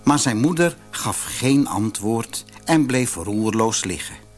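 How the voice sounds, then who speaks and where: Guy reading a fairy tale, slow and lively, highish voice, music around. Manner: expressive storytelling. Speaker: audiobook narrator. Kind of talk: scripted fairy tale narration for children.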